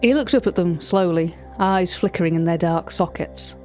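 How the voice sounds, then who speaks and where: An actress as a cultured woman narrating a mystery audio drama. Her voice is mellow and on the low side, with faint music underneath. She speaks formally and precisely, with dry, wry opinion. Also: moderate pace, lightly acted. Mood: hushed unease, wry. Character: cultured, wry art-catalogue narrator recounting uncanny encounters. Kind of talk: scripted narration of an audio fiction.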